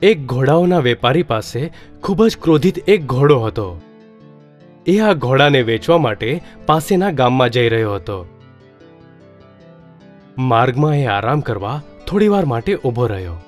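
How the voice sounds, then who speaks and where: Guy narrating kids stories, calm and plain, normal pitch. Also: unhurried, long pauses, faint music behind. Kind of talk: children's storybook narration.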